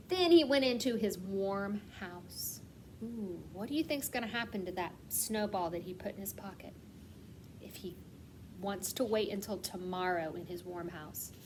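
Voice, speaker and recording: High-voiced woman reading to young children. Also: rough recording. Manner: asks questions and pauses as if children answer; expressive storytelling with slowed, emphasised words. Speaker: kindergarten teacher reading aloud. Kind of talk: picture-book read-aloud for young children. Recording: clear background noise.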